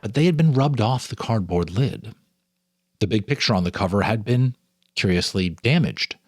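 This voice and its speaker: A man hosting and narrating a ghost story in a deliberate, dramatic storytelling style with conversational ease. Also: on the low side, husky, no echo, clean studio sound. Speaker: host and narrator reading a story. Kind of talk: dramatic ghost story reading with casual asides. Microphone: speaking to camera, reading off a teleprompter.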